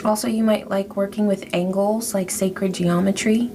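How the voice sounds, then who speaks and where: A woman giving a spiritual tarot reading to her viewers, speaking in a soft, affirming way and drawing out her phrases. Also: mid-range voice, fairly even intonation, normal speed. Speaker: tarot reader. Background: background music.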